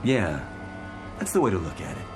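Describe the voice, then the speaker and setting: An actor playing a matter-of-fact, resigned responder in a radio drama, gruffly practical in manner. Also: male voice, sits low, wildly swooping pitch, moderate pace, music in the background.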